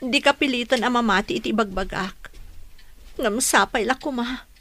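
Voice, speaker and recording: An actress playing a repentant woman in a radio drama, speaking softly and pleading for forgiveness. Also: on the high side, normal speed, no echo. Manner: soft, pleading.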